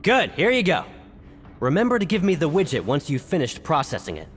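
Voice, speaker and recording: A man's voice: a little high, wildly swooping pitch, brisk, slight background noise. A man voicing a brusque, bossy production foreman in a video game, barking and grudging.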